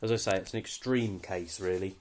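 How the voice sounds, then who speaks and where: A man's voice: sits low, fairly even intonation, brisk, a little hiss or hum. A British man giving a casual, unscripted walkthrough of his kit in a matter-of-fact, slightly hesitant way. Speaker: hobbyist presenter showing radio kit.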